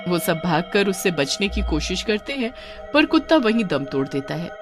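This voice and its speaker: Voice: medium pitch, sing-song, moderate pace. A storyteller giving scripted narration of a cartoon horror tale. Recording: music behind the narration.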